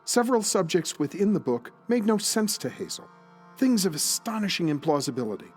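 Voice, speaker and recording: Higher-voiced male audio drama narrator. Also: very animated, a little fast, slightly rough, soft background music. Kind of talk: scripted story narration.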